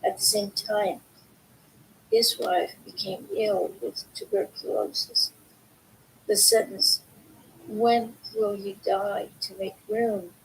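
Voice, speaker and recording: Slow-reading woman with a creaky voice. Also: expressive, poor audio. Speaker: reader of a book. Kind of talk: slow read-aloud of book excerpts. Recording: faint background noise, low rumble.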